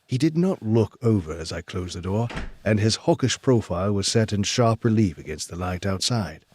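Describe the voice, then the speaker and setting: Casting: man for genial Victorian gentleman physician, fairly low register, courteous and mildly flustered, radio-play delivery. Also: expressive, normal speed, slight background noise, natural voice. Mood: narrating. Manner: polite, affable, hesitant. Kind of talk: audio drama acting and narration.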